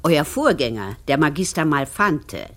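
A devout, simple maidservant in a radio play. Her voice is very deep and breathy, with slow, tender phrasing that rises and falls freely. She sounds loyal and quietly bereaved. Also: very animated, exaggerated voice. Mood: fond. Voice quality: husky, breathy. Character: devout, illiterate, loyal maidservant mourning her lost soldier. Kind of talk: German radio play acting.

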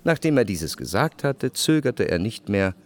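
An actor playing a reflective, refined first-person narrator in a radio drama, reading in a measured literary style. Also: male voice, fairly low pitch, big pitch swings, over a noisy crowd.

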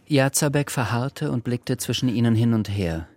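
A man's voice: mid-range voice, moderate pace, a little mellow. A man reading scripted literary narration as the narrator of a radio drama.

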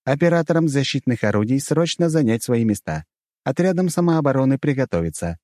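A man's voice: medium pitch, lively intonation, a little fast, dark tone, dry recording, pitched above the performer's own voice, natural voice. Casting: emergency announcer machine acted by a man, lifted register, official, commanding, dry sound. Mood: urgent. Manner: formal, commanding. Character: urgent official city alarm announcer over loudspeakers.

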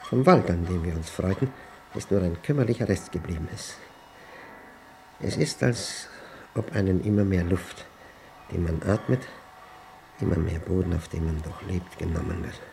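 An actor playing a brooding, suspicious old widower who speaks a halting, introspective diary monologue in a German radio play. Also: male voice, sits low, vocal fry, dark tone. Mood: bitter, resigned. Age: older. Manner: halting, deliberate, broken phrases.

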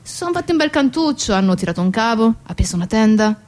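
A woman performing a theatrical first-person monologue in short, deliberate phrases, addressing the listener directly. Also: a little high, sing-song, darkish tone. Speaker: stage actress and narrator.

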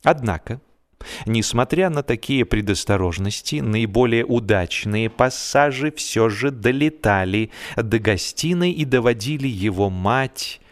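A man performing a humorous literary narration in an expressive, mock-serious manner with dramatic pauses. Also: medium pitch.

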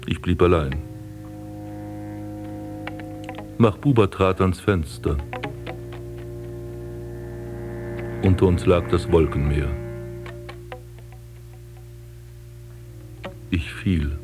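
An actor playing an embittered, melancholy aristocratic prince in a German radio drama, with theatrical, eloquent delivery. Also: male voice, sits low, moderate pace, music in the background, lightly acted. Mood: resigned, melancholy. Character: embittered, melancholy aristocratic prince recalling his wasted life and burial.

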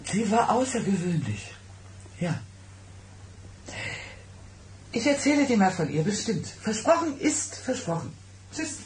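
A woman's voice: mid-range voice, very animated, darkish tone, natural voice. Woman playing nervous evasive friend in a radio play, stumbles along, voice jumps around, some noise behind. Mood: flustered, hurried. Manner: hesitant, halting, evasive. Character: evasive, flustered childhood friend guarding a family secret. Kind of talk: 1990s German radio drama acting.

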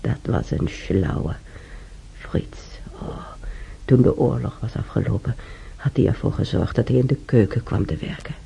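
An older woman acting an ailing, weary old woman reminiscing alone in a radio drama, halting and sighing, with a breathy voice. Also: very deep voice, expressive, dark tone, in a whisper, full character voice. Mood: fond reminiscing. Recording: dull radio recording, faint noise.

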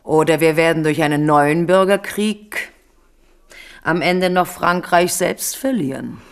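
A scheming royal queen mother in an old radio play. Her voice is smooth and measured, and she sounds persuasive and coolly calculating even when she speaks of peace. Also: low voice. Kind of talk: 1970s radio drama acting.

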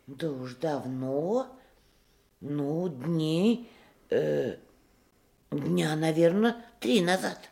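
A woman's voice: deep voice, very slow, vocal fry, long pauses, warm tone, full character voice. An actress playing a simple, talkative woman witness in a Soviet radio drama, colloquial, hesitant and sympathetic. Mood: recalling, hesitant.

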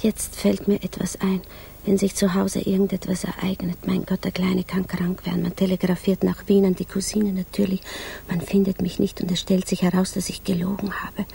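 An actress playing an anxious, yearning provincial widow in a 1950s German radio drama, musing hesitantly. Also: female voice, medium pitch, flat delivery, normal speed, gravelly, mellow. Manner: hesitant, musing inner monologue. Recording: hissy 1950s radio recording.

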